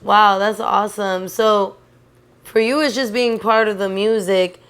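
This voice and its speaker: A young woman hosts a relaxed podcast chat, speaking easily and filling her sentences with "like". Her voice sits at a middle pitch for a woman. She sounds casual and conversational with her guest. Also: measured pace, clear, resonant tone. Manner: relaxed, chatty, uses "like" often. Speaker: podcast host.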